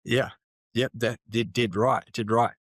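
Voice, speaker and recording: A man's voice: mid-range voice, flat delivery, a little slow, no echo, studio quality. A man hosting an interview, asking long, rambling questions that he reworks as he goes and nodding along with frequent affirmations. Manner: rambling, self-correcting questions, many affirmations. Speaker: interview host. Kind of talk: conversational interviewing.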